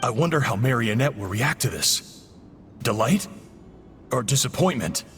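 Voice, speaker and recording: A man's voice: mid-range voice, expressive, moderate pace, long reverb tail, music in the background, lightly acted. A man voicing a smug, coolly menacing villainous agent in a video game, with a dry, mocking, theatrical delivery. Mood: sardonic.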